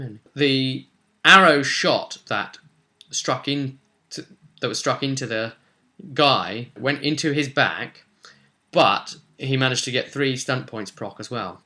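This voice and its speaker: Man with a bright, forceful voice. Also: medium pitch. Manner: rambling, colloquial storytelling. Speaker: game master. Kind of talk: casual recap of a tabletop roleplay session.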